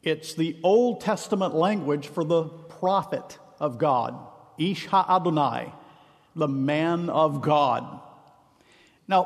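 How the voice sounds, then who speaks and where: A man delivers a sermon from the pulpit in a fairly high voice. He is earnest and emphatic, slowing right down to lean on important phrases. His words carry a long reverb through a large church sanctuary. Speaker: minister.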